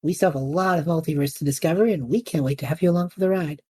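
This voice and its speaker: A woman's voice: deep voice, fast, mellow, slightly rough. A woman playing an easygoing, kind-hearted and modest young male adventurer in an audio drama. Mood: warm sign-off.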